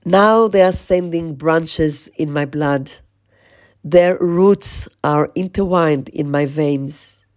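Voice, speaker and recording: Slow, husky-toned woman podcast host. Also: low-pitched, a little mellow. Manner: slow, measured, lingering on lists. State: voice strained, losing her voice. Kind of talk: reflective spoken podcast monologue.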